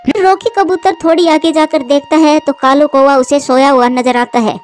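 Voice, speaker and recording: A woman's voice: high voice, steady tone, moderate pace, faint music behind. A woman narrating a scripted children's story for a cartoon.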